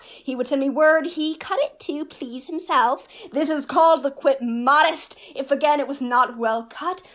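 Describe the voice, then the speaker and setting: Female actor as court jester, high voice, pedantic mock-formal delivery, witty, Shakespearean radio drama. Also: a bit bright. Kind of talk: Shakespearean radio play acting.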